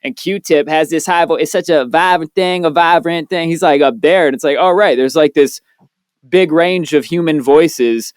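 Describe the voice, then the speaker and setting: A man's voice: fairly high pitch, moderate pace, clear, resonant tone, no room sound, studio quality. A young man, a podcast co-host, chatting casually, swearing freely and telling stories with energy. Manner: laid-back, swears freely, animated storytelling. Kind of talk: casual chat.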